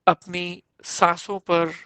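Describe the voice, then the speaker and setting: Slow-paced male yoga nidra guide. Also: high voice, little pitch movement, bright, forceful tone, clear, resonant tone, dry recording. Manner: measured, instructive, pausing between short phrases. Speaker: yoga instructor.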